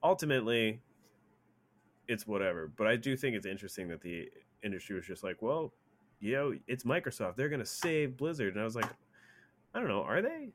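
A man's voice: mid-range voice, sing-song, fairly quick, a little hiss or hum. A man co-hosting a gaming news show, chatting informally and acting out mock quotes as he comments. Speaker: gaming news podcast co-host.

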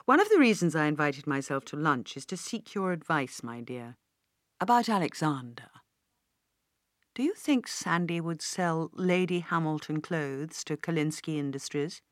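A courteous older British business magnate voiced by a woman reading an audiobook. He speaks in a measured, gracious, formal way, like a fond uncle. The recording is very dry. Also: sits low, expressive, no room sound. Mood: measured, businesslike.

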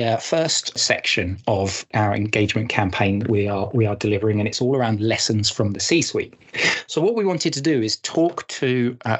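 A husky-voiced man hosts a podcast and talks at length before bringing in his guests. He chats loosely and rambles while he sets up each question. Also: mid-range voice. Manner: chatty, rambling. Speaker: podcast host. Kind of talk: casual podcast hosting.

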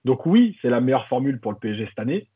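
Husky man on a tinny phone line. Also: medium pitch, very animated, fast talker. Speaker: podcast panelist. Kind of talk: casual opinion chat.

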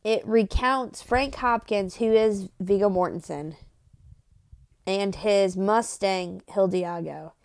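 A woman's voice: medium pitch, slow, creaky, darkish tone, no room sound. A woman co-hosting a podcast with a stuffy nose from a cold, teasing and blunt in casual chat. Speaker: podcast co-host.

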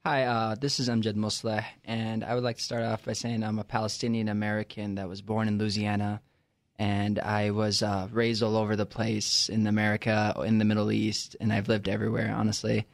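A man in his twenties, a student guest, answering an interview in a relaxed, slightly rambling way. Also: lowish voice, a little fast, vocal fry, dry recording, studio quality. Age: 20s.

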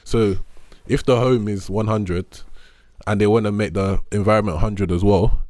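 A man's voice: on the low side, moderate pace, no room sound. A young man from the UK, chatting casually and laughing often through slangy stories. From United Kingdom. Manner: laughs a lot, animated slang-heavy storytelling. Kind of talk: casual podcast chat.

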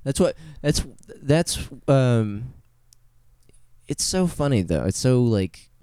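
A man's voice: mid-range voice, sing-song, slow, dark tone, clear, resonant tone, dry recording, studio quality. A man co-hosting a podcast in casual, joking conversation, with loose, sweary phrasing. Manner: casual and profane. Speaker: podcast co-host. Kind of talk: casual comedic podcast chat.

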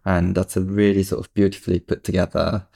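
A man's voice: deep voice, level tone, brisk, vocal fry, dark tone. A man hosting an interview, speaking hesitantly with many "um"s. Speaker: interview host. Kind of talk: casual interview.

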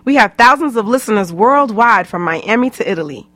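A woman's voice: on the high side, animated, a little fast, pushed and bright, no echo. A woman coach delivering a scripted radio promo on a close mic.

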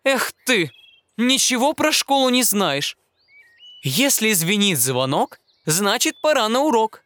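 A man's voice: high voice, big pitch swings, slow, bright, forceful tone, dry recording, pitched above the performer's own voice, heavily acted. A man voicing a self-important female magpie from a children's storybook, chattering and lecturing. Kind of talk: storybook character voice.

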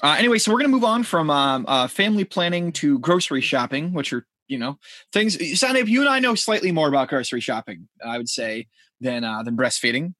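Lively jokey man hosting a podcast. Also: a little high, fairly quick, no echo. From Connecticut. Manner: jokey, steers topics with "anyway". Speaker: podcast host.

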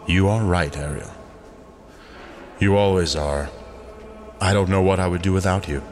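An actor playing a stern, proud archangel warrior with a solemn, commanding manner in an audio drama. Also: male voice, deep voice, moderate pace, darkish tone, soft background music, close to an everyday voice. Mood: weary.

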